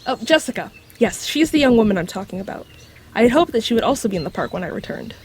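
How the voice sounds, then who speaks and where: A knowledgeable mythical creature in the shape of a dog, acted by a woman, speaks in a high, very animated voice. It explains things matter-of-factly with a wry edge, audio drama style, over faint background noise. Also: fast, lightly acted. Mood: reminiscing. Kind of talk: scripted audio drama acting.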